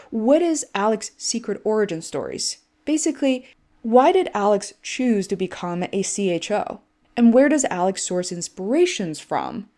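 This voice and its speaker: A woman's voice: medium pitch, warm tone. A woman from China, English her second language, hosting a podcast with an enthusiastic, earnest manner. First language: Chinese. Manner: enthusiastic, earnest, with restarts in chat. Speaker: podcast host. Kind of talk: scripted intro then interview chat.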